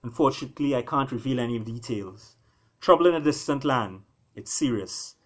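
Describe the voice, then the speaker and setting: A dutiful lion prince from a storybook, voiced by a male narrator. He is a serious yet tender husband, speaking soberly in short, measured sentences at a middling pitch. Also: animated, lightly acted. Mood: serious, apologetic.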